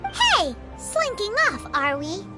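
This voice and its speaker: Squeaky, childlike fairy sidekick. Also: female voice, huge pitch swings, slow, loud music behind the voice, heavily acted. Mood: indignant. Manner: perky, hedging, exclamatory. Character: small childlike fairy companion, bossy, scolding, fretful. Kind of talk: video game character acting.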